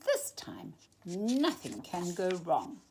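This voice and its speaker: A woman's voice: on the low side, wildly swooping pitch, slow pace, darkish tone, a little hiss or hum, full character voice. A woman voicing a cold-hating, hopeful and self-assured male penguin in a children's storybook, with emphatic declarations. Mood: confident.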